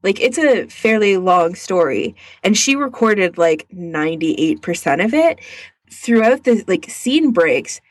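A woman's voice: medium pitch, animated, measured pace, no echo. A woman co-hosting a podcast in casual, informal chat, often dropping "like" into her sentences. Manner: informal, peppered with "like". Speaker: podcast co-host.

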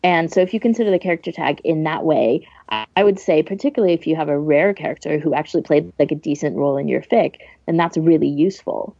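A woman's voice: low voice, fast, creaky, few pauses, mellow, slightly rough, no room sound. A woman co-hosting a podcast in casual, emphatic conversation. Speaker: podcast co-host. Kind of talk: casual chat. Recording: dull lo-fi audio, dry.

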